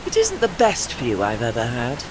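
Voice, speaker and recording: An actor voicing a proud, ageing warship in an audio play, with formal, dry, witty and faintly pompous diction. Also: male voice, mid-range voice, huge pitch swings, moderate pace, noisy background. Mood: dry, lofty.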